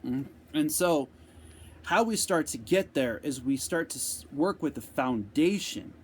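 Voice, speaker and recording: A man giving an unscripted motivational talk, speaking slowly and deliberately with repeated phrases, answering a viewer's question. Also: a little high, lively intonation, slightly rough. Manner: slow, deliberate, repetitive phrasing.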